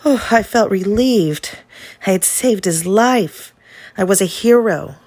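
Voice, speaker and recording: A woman reading a children's story aloud in an animated way, drawing out key words for dramatic emphasis. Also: mid-range voice, slow. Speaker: teacher reading a picture book aloud. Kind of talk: expressive children's storybook narration.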